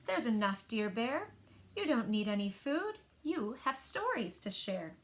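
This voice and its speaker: A woman's voice: fairly high pitch, big pitch swings, a little slow. A woman voicing a small, kind mouse who soothes and reassures a friend. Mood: reassuring.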